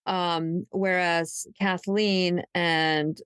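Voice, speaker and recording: A woman hosting a true crime podcast, discussing cases conversationally and pausing as she pieces the details together. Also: fairly low pitch, little pitch movement, a bit bright, clear, resonant tone, no room sound, studio quality.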